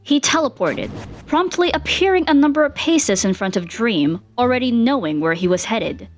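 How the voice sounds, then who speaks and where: A woman's voice: fairly high pitch, animated, moderate pace, soft background music. A woman working as narrator, reading a fan fiction story aloud in a dramatic storytelling style.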